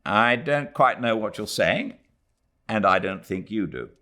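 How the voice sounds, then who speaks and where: A man's voice: lowish voice, lively intonation, unhurried, pushed and bright. A man voicing a stiff, exasperated young Englishman who speaks in a clipped, brusque way. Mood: brusque impatience.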